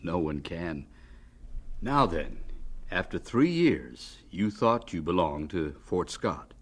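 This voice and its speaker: A man's voice: sits low, very animated, slow pace, fairly bright tone, husky, full character voice. A man acting as the genial narrator of a scripted radio drama. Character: genial narrator chatting with the story's hero.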